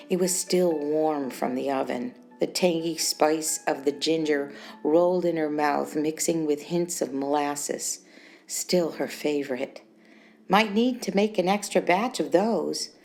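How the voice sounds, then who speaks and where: A woman's voice: low voice, creaky, darkish tone. A woman reading her own short story as scripted audiobook narration. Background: music.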